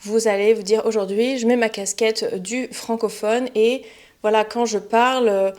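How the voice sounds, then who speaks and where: A young woman, a French teacher, giving a relaxed spoken lesson to learners, chatty and often pausing with fillers and restarts. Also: on the high side. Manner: chatty, many fillers and self-corrections.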